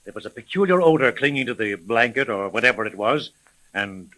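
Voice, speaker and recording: A stuffy, evasive millionaire from a 1930s radio mystery. The voice is mid-pitched, tense and bright. He picks formal words and stumbles over them, easily affronted. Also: lively intonation, poor audio. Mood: uneasy, recalling. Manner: halting, formal, indignant. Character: evasive, stuffy millionaire businessman, a kidnapping victim.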